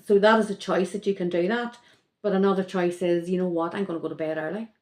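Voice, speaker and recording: A woman's voice: fairly low pitch, quick pace, echoey room. A woman speaking as a podcast guest in casual conversation, rambling and correcting herself as she goes. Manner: rambling, self-correcting, lively.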